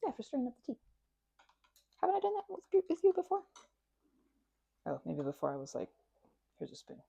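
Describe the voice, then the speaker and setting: A woman with a fairly high, very lively voice plays the best friend in an ASMR roleplay. She rambles from one aside to the next with childlike excitement. The sound is dry and intimate, with no room echo. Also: fairly quick, creaky. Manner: rambling, scattered, jumping between asides.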